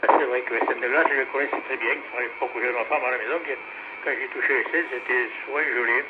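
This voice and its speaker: A man's voice: mid-range voice, fairly even intonation, quick pace. A man chatting casually as an amateur radio operator over a two-way radio, rambling and repeating himself. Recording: tinny, noisy radio relay.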